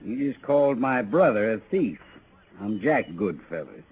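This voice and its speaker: A man's voice: lowish voice, very animated, unhurried, a little mellow, husky, heavily acted. A man playing a menacing small-town Western villain in 1950s radio drama style, with a folksy, goading drawl. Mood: smug, taunting. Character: taunting, menacing male small-town Western outlaw sheriff. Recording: noisy vintage radio drama recording.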